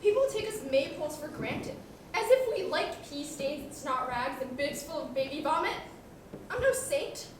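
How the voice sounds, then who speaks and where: A martyred, coaxing washing machine voiced by a woman in a student stage comedy, pleading in a very high voice with big melodramatic swoops. It sounds far off in an echoey hall with background noise. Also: very animated, moderate pace. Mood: martyred, self-pitying. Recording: distant mic, echoey noisy hall.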